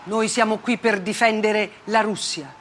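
A man's voice: high-pitched, fairly even intonation, unhurried, fairly bright tone, a little hiss or hum. A woman performer voicing a hard, self-righteous male army colonel, blunt and accusatory, in a dramatic stage monologue. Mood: defiant, accusing. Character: hard, defiant Russian army colonel in Chechnya. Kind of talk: dramatic monologue radio theatre.

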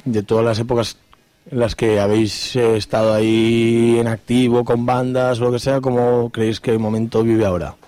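A man's voice: medium pitch, flat delivery, normal speed, mellow, no echo. Informal Spanish male radio host. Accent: Spain. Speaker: radio host interviewing a band. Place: radio studio.

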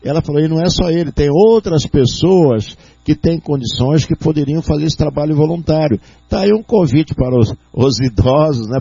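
A Brazilian Portuguese-accented man hosting a radio talk show, with a mellow, dark, raspy voice. He rambles through his questions with many fillers. The sound is dry and dull, like lo-fi radio. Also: medium pitch, dry recording.

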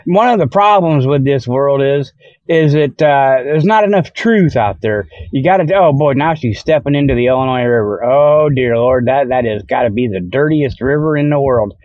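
A man's voice: medium pitch, moderate pace, a little mellow, no room sound, dull audio. A man hosting a podcast in a rambling, tongue-in-cheek monologue, with folksy, colloquial phrasing full of "okay" and "you know". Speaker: podcast host.

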